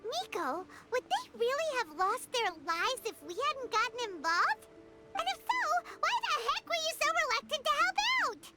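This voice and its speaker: A woman voicing a tiny, childlike, excitable fairy companion in a video game, bubbly and blunt. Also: extremely high pitch, moderate pace, over loud music. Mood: curious, eager. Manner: bubbly, blunt, refers to herself by name.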